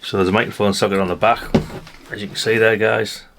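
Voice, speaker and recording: A man's voice: lowish voice, level tone, fast, creaky. Rambling British man with noisy background. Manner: rambling, musing aloud, chatty asides to viewers. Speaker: vlogger showing a haul of vintage CB radios. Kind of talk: casual hands-on show-and-tell.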